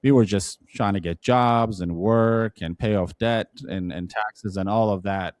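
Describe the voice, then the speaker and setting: A Turkish-accented man, not a native English speaker, with a fairly low voice, recorded dry and close. He tells his story as an interview guest, earnest and halting, with restarts and "uh" fillers along the way. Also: darkish tone, no echo. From Turkey. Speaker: podcast guest, entrepreneur. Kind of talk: conversational storytelling.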